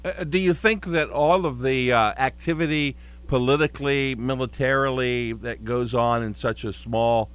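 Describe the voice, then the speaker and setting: A male radio interviewer with a voice of middle pitch. He asks questions in a measured way and offers encouraging praise. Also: a bit bright, clean tone.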